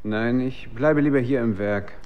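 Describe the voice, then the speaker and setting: A man's voice: mid-range voice, a little slow, muffled recording, natural voice. An actor playing a driven, defensive male researcher in a German radio drama. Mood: matter-of-fact.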